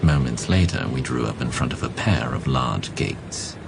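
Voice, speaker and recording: An actor playing a genial, naive gentleman, a detective's loyal companion, narrating and talking. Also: extremely low pitch, animated, normal speed, vocal fry, background noise, heavily acted. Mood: wry narrating. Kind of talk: radio drama narration and dialogue.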